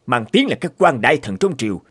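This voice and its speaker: A man's voice: mid-range voice, sing-song, fairly quick, fairly bright tone, breathy edge, dry recording, studio quality. An actor playing an imperious, bullying cartoon king who scolds and threatens haughtily. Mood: threatening. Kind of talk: dubbed cartoon fairy tale acting. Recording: music behind the voice.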